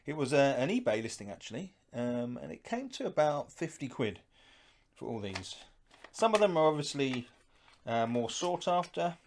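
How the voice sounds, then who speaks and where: A middle-aged man with a medium-pitched voice talks casually and unscripted, as the host of an unboxing. He muses out loud as he goes. There is faint noise and some clicking from handling behind him. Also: sing-song, normal speed. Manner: musing, thinking aloud while handling items. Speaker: host of a retro gaming unboxing channel.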